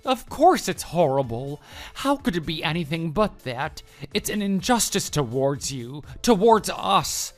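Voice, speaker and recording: A man's voice: fairly high pitch, big pitch swings, measured pace, few pauses, faint music behind. An actor playing a deluded, pushy male office coworker in love, making florid, earnest declarations in a scripted online drama. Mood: alarmed, urgent.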